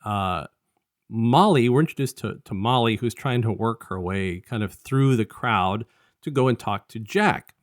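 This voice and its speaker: A man co-hosting a casual review podcast, talking in a halting, rambling way and often restarting his sentences. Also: on the low side, dry recording, clean studio sound. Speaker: podcast co-host.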